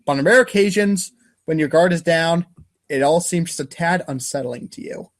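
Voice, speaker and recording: A man's voice: a little high, normal speed. A man narrating a webcomic aloud in an informal, dramatic second-person style. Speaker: reader of a webcomic read-aloud show.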